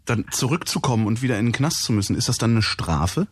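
A man's voice: medium pitch, very animated, fast, husky, dry recording. A male radio host asking interview questions, stumbling as he rephrases them. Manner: stumbling over his questions.

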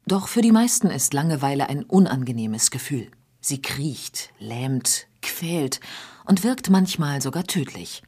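A woman narrating a radio feature in a measured, precise scripted delivery. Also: low voice, expressive, warm tone, husky.

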